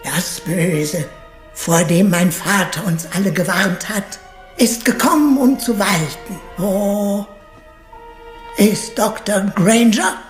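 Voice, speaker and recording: A woman's voice: medium pitch, slow, lightly acted. Female, actress playing eccentric elderly séance medium, theatrical delivery in a roomy echo with music beneath, radio crime play. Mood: ominous. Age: older. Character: eccentric old lady spiritualist channelling the dead.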